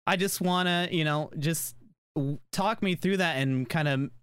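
A man's voice: a little high, a little slow, fairly bright tone, clear, resonant tone, no room sound, clean studio sound. A man hosting a casual podcast interview, rambling through his questions with many fillers and restarts. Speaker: podcast host.

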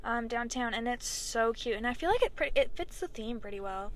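A woman's voice: a little high, expressive, a little fast, slight background noise. A teenage girl co-hosting a casual podcast with friends, giggly and chatty with lots of ums and likes. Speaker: podcast co-host. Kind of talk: casual chat among friends.

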